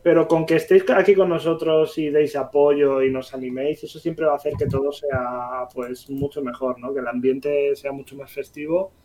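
Excitable Spanish man, higher voice. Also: moderate pace, hardly any pauses, rough recording. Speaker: podcast co-host. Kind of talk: casual livestream podcast.

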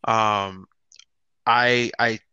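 Bright-voiced man, thoughtful and halting. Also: fairly low pitch, fairly even intonation, clean tone, dry recording, highs cut off. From United States. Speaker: guest. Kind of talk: casual group discussion.

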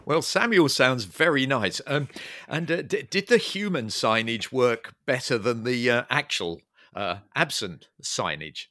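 A male host interviewing a guest, playful and gently joking. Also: medium pitch, expressive, a little slow, no room sound, clean studio sound. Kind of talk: radio interview.

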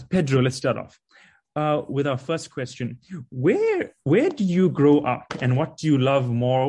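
A man hosting a relaxed interview, asking questions and sharing thoughts with loose restarts. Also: mid-range voice. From South Africa. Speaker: podcast host. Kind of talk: conversational interview.

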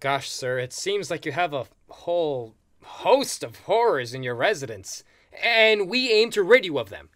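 An earnest paranormal hotline agent in an audio comedy. His voice is high and animated, with the courteous, deferential manner of customer service. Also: unhurried. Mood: polite, concerned. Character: polite, earnest ghost-removal hotline agent. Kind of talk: audio comedy sketch acting.

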